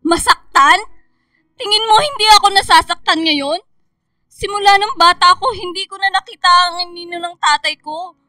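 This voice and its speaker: A woman's voice: very high-pitched, moderate pace, pushed and bright, no room sound. A woman playing an anxious, testy teenage schoolgirl in a Filipino radio drama. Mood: angry, hurt.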